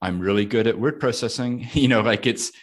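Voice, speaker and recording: Reflective American man, low voice. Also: fairly quick. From United States. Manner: thoughtful, laughs often, asks himself questions aloud. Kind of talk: reflective casual conversation.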